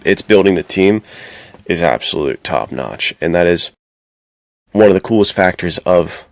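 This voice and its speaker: Very deep, creaky-voiced casual man. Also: animated, a little slow, no room sound. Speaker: podcast co-host. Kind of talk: casual chat.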